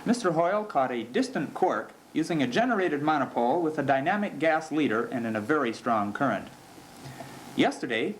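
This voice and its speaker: Man playing a deadpan sportscaster, faint noise. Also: on the high side, close to an everyday voice. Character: straight-faced sportscaster on a futuristic comic newscast. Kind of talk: scripted parody sports news. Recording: noisy amateur 1970s recording.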